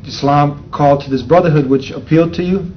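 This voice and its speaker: A man's voice: a little high, a little fast. A man hosting an on-location interview, talking conversationally with frequent restarts. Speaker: show host. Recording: slightly dull sound with faint noise.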